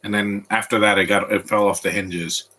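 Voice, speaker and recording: A man's voice: fairly low pitch, level tone, moderate pace, bright, forceful tone. A man giving casual live commentary while watching a film at home, rambling and restarting his sentences often. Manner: rambling, stop-start with repeated restarts. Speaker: movie commentator.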